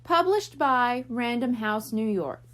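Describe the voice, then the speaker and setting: A woman reads a children's audiobook in a high voice. The scripted narration moves with lively, varied intonation. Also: takes it slowly. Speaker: audiobook reader.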